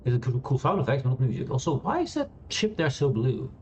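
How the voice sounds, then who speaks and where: A man streaming a space game, chatting loosely with many fillers and restarts, laughing now and then. He has a loud voice. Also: mid-range voice, wildly swooping pitch, quick pace, slight background noise. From Europe. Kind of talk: casual gameplay commentary. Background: quiet game music.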